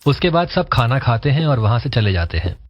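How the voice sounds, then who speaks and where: A man's voice: medium pitch, big pitch swings, a little fast, highs cut off. A man reading scripted narration for a cartoon family story.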